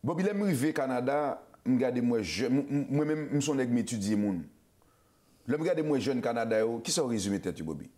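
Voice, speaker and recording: A man with a Haitian accent hosts a loose, casual talk show. He talks at a rapid clip, stumbling into restarts and repeated words. He slips Creole and English phrases into his speech. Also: medium pitch, animated, mellow. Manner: fast, repetitive, stammering restarts, mixes Creole and English. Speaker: show host.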